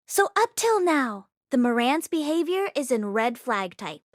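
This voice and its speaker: A woman narrating a story aloud in an expressive read-along style. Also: sits high, unhurried, no room sound, clean studio sound. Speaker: audiobook read-along host.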